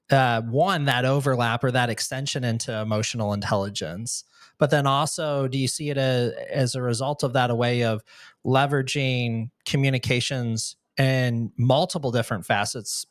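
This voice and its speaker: A man's voice: medium pitch, fairly bright tone, no room sound, studio quality. A man hosting a podcast, asking long rambling questions with many restarts. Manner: rambling, self-interrupting questions. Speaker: podcast host. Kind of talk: casual interview chat.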